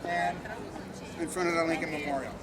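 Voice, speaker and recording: A man's voice: on the high side, fairly even intonation, a little fast, crowd noise. A man teaching self-defense as an instructor, informally talking through each move outdoors. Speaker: martial arts instructor. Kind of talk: hands-on self-defense demonstration. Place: outdoors on public concrete memorial steps. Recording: outdoor city noise, people around.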